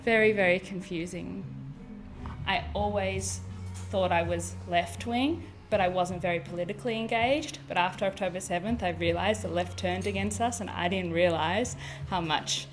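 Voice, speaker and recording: Soft, hesitant woman, music behind. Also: on the low side, normal speed. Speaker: salon customer.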